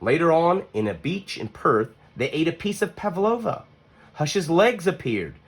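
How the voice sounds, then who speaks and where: A man reading a children's story aloud as an expressive narrator, with deliberate pauses between phrases. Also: fairly high pitch, slightly rough. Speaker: storybook reader.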